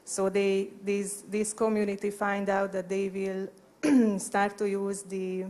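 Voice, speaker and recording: Halting Hungarian-accented woman, flat and slow. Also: medium pitch. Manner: halting, hesitant non-native English. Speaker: company presenter at a conference. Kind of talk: prepared conference presentation. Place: conference hall at a lectern. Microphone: handheld microphone held at chest level.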